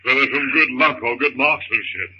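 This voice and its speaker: An actor playing a calm, reassuring young husband and father in a 1930s radio drama. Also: medium pitch, moderate pace, natural voice. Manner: gentle, breezy, reassuring. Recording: noisy, muffled 1930s radio recording.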